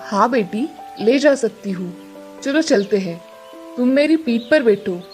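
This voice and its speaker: A woman voicing a gentle, motherly magical cow in a moral-story cartoon. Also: fairly high pitch, lively intonation, a little slow, faint music behind. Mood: kind, reassuring.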